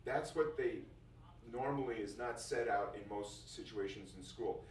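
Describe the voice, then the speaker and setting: A man's voice: on the low side, unhurried, a little mellow. A man giving an informal, jokey first-day talk to music students as their teacher, often repeating phrases for emphasis. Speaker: music school teacher addressing new students. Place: indoor classroom. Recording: roomy, distant mic, faint noise.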